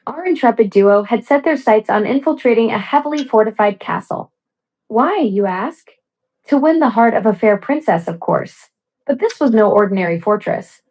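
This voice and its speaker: Higher-pitched woman, wry storybook narrator. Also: expressive, normal speed, darkish tone, poor audio. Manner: wry, arch, theatrical asides. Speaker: voice assistant storyteller.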